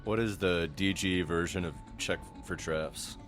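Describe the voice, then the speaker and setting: Low-voiced man, casual tabletop player. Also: steady tone, a little slow, a bit bright, soft background music. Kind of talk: casual game table banter.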